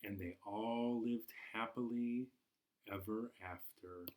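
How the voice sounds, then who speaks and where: A man hosting a storytime for children, reading aloud expressively in a deliberate, encouraging way. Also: lowish voice, fairly even intonation, a little mellow, a little hiss or hum. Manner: slow, deliberate storytelling with dramatic pauses. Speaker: library storytime host.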